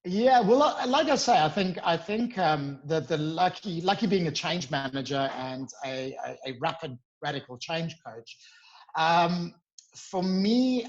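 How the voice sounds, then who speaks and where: Guy, 40s, kinda high voice, keen and earnest, video call. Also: lo-fi sound. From South Africa. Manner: upbeat, earnest, with bursts of emphasis. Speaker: podcast guest, founder. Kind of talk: casual interview chat.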